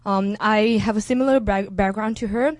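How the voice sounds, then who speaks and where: A young woman from India, a university student, introducing herself to a gathering with hesitant pauses and fillers. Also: medium pitch, darkish tone, no echo. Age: young adult. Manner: hesitant, many fillers and restarts. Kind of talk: casual public introduction.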